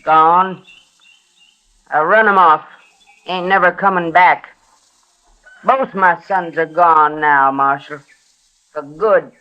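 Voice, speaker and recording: A woman's voice: lowish voice, takes it slowly, long pauses, lo-fi sound. An actress playing a fierce, stubborn middle-aged frontier mother in a radio western, terse and defiant, with a rural American Western accent. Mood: hard, defiant. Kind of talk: 1950s radio western acting. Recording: vintage radio drama recording.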